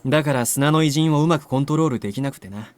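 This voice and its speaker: A male actor voicing a cocky, nonchalant young man in a visual novel, with rough, offhand speech. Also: a little high, racing, dry recording, lightly acted. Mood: nonchalant explaining. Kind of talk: Japanese visual novel voice acting.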